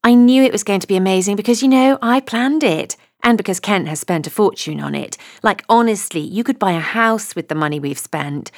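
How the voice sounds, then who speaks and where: A woman's voice: medium pitch, fairly quick, hardly any pauses, a little mellow, no room sound, clean studio sound, voice pushed up, lightly acted. A woman voicing a snobbish, gushing young bride, a little spoilt and breathless.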